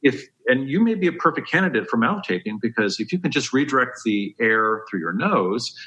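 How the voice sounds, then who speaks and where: A man's voice: mid-range voice, big pitch swings, brisk, sharp tone, low-quality recording. A man giving health advice as a dentist on a podcast, explaining step by step in an emphatic, conversational way.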